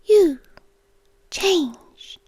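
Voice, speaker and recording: A British woman voicing an ancient, lovestruck, obsessive end-of-the-world entity in a hushed, intimate ASMR roleplay, with deliberate pauses, close to the microphone. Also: very high-pitched, lively intonation, no echo, full character voice. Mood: tender, fascinated. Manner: slow, hushed, deliberate delivery with long pauses. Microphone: close, dry studio microphone.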